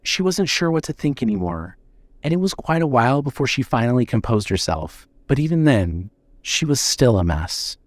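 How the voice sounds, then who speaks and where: A man reading scripted narration for an audio drama in a measured way. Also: medium pitch, sing-song, dry recording.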